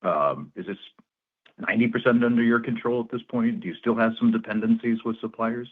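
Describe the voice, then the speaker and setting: A man's voice: mid-range voice, brisk, slightly rough. A man speaking as a financial analyst, putting conversational questions on an earnings call over a telephone. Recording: narrow tinny phone line.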